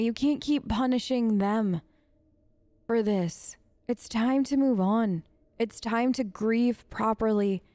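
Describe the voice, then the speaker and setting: A woman's voice: on the high side, mellow, dry recording. A woman doing casual, improvised role-play game commentary as a let's play host, chatty, earnest and rambling.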